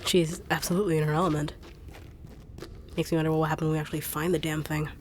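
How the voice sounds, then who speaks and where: A no-nonsense, teasing bodyguard, voiced by a woman with a low voice. She talks dryly and bluntly in an audio roleplay. Faint hiss sits behind her. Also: level tone, fast, natural voice. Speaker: voice actor.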